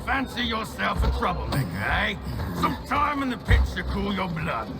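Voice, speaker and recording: Casting: jeering jail brute, high raised voice with very heavy gravel, game drama. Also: male voice, sing-song, moderate pace, over loud music, over a noisy crowd, full character voice. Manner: shouting, taunting. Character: aggressive, jeering male prison bully. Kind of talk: dramatic game cutscene acting.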